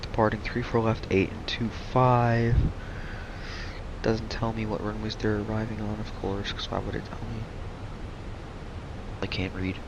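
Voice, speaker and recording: Gravelly young man streaming flight sim. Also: on the low side, level tone, unhurried, pauses often. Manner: laid-back, rambling, self-deprecating. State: losing his voice. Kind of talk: casual live-stream commentary. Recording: muffled stream audio with noise.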